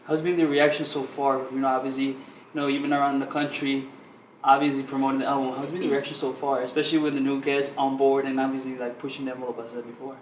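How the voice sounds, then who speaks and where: Guy interviewer firing off questions real quick, rambles a bit, roomy echo. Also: medium pitch, little pitch movement. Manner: rambling, repeats himself. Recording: echoey room, faint noise.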